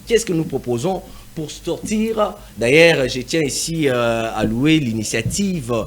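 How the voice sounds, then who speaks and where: A man's voice: fairly high pitch, lively intonation, unhurried. A man from DR Congo speaking as a panelist in a televised debate, emphatic, repeating phrases for stress with many hesitant fillers.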